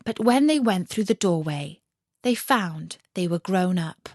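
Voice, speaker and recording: A woman storyteller reading a scripted fairy tale in an expressive, measured way. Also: on the low side, no room sound, studio quality.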